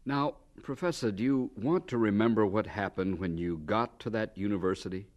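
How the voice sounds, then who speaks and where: A man's voice: lowish voice, moderate pace. A man working as announcer and narrator, delivering scripted radio drama narration. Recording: music under the voice. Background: music.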